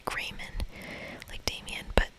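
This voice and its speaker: A woman whispering in a breathy, playful and casual way as she reads a video game aloud for ASMR. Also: a little slow. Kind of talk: whispered ASMR playthrough, reading dialogue and commenting.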